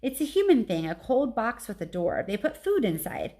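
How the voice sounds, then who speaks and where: A woman voicing a gentle, patient male gorilla in a storybook, with a mild and somewhat hesitant manner. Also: a little high, sing-song, normal speed, voice pushed up.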